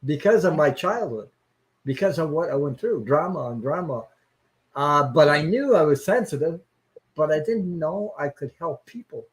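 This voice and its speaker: A man hosting a live-stream chat, talking in an earnest, halting way on a video call. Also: a little high, expressive, low-quality recording. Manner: earnest, halting, stops and restarts as he opens up. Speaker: host.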